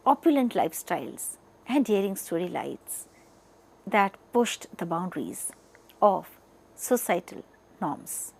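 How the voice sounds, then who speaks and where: Female media educator, Indian accent, slightly high voice with lively, swinging intonation, explaining to students emphatically. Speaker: media educator presenting a lesson. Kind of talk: lecture-style explanatory narration.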